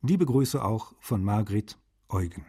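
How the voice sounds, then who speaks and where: An actor playing a male translator who reads wry, friendly letters in a soft, husky voice for a radio feature. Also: lowish voice, lively intonation, takes it slowly, exaggerated voice. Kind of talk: scripted radio feature reading.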